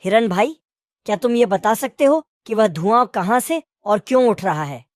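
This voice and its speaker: A woman voicing a sensible male jackal in a children's animated story, questioning and reasoning as he talks. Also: medium pitch, moderate pace, dry recording, clean studio sound, lightly acted. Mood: curious.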